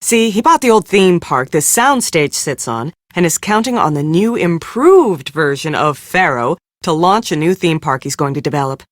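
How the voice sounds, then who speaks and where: An actress playing a harried, blunt film producer in a mystery game, brusque and sardonic. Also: female voice, low voice, expressive, normal speed, few pauses, no echo, clean studio sound. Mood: sardonic, weary. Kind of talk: scripted game dialogue.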